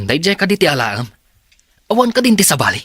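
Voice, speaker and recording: A man's voice: a little high, wildly swooping pitch, moderate pace, a bit bright, husky, no room sound, exaggerated voice. A man with a Filipino accent playing a sceptical, grudging colleague in a radio drama. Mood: sceptical, resigned. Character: sceptical, grudging male friend and colleague at company. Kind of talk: Ilocano radio drama acting.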